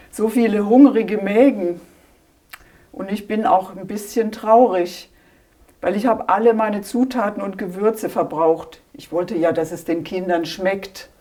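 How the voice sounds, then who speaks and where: Exhausted wise traveler, played by a woman: mellow, slow, soft-spoken. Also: medium pitch, close to an everyday voice. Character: weary wise traveler, a cook who gave everything. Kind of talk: amateur church nativity play.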